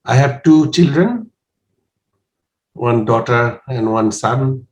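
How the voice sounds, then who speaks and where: A man in his sixties from Bangladesh, answering interview questions in a measured, hesitant way with frequent pauses. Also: medium pitch. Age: 60s. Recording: roomy echo.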